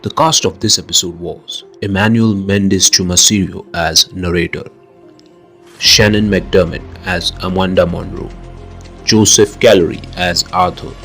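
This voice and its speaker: A man's voice: deep voice, fairly bright tone. A man hosting a podcast, reading scripted credits and announcements. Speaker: podcast host.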